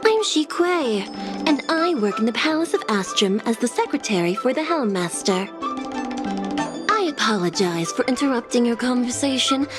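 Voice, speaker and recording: A courteous young palace secretary from a video game, played by a woman. Her voice sits a little high and moves with lively intonation, while her phrasing stays formal and measured. Music plays clearly behind her. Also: close to an everyday voice. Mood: polite, welcoming. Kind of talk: video game dialogue acting.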